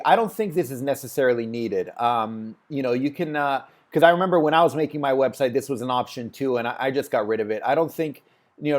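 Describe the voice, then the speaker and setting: Man chatting casually over a screen share. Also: medium pitch. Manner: rambling, many fillers, thinks aloud. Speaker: host reviewing a website.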